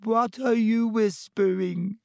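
A man voicing the tearful, self-pitying ghost of a teenage girl, choked and wailing, with a dramatic drawn-out delivery for an audiobook. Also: high voice, fairly even intonation, vocal fry, darkish tone, no echo, clean studio sound, pitched above the performer's own voice, heavily acted. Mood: wounded, tearful.

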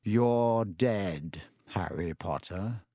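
A man voicing a cold, gloating young dark wizard villain in an audiobook, taunting and menacing with drawn-out words. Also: medium pitch, sing-song, smooth, no echo, clean studio sound. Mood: mocking.

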